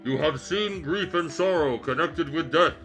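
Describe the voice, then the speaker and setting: A man voicing a calm, solemn ghost guide who lectures sternly in a fan fiction reading. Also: on the high side, a little slow, music in the background. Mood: solemn lecturing.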